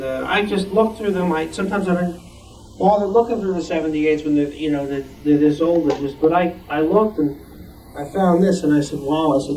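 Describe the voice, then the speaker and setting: A man's voice: fairly high pitch, a little mellow. A man giving a casual show-and-tell talk, storytelling with repeated and rephrased words. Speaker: club member presenting a collectible. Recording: distant room mic, faint noise.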